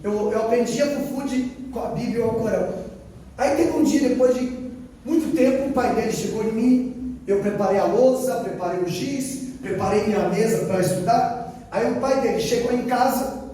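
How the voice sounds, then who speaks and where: A man's voice: very high-pitched, moderate pace, warm tone. A male missionary from Brazil preaching a sermon testimony, telling a story and quoting dialogue with repeated phrases for emphasis. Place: church hall. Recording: echoey hall from far off, faint ambience.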